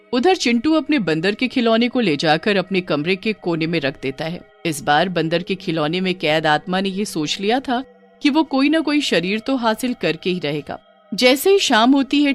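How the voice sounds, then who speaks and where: A woman narrating a scripted children's horror story. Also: medium pitch.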